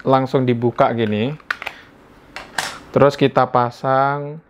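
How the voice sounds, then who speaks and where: A man's voice: mid-range voice, level tone, slow pace, dull audio, background noise. A man with an Indonesian accent giving a casual, conversational unboxing narration as a product reviewer, explaining things informally. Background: background music.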